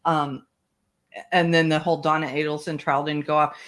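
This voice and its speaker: A woman hosting a casual online book club stream, talking in a rambling, animated way and laughing often. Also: sits low, normal speed. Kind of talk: casual livestream chat.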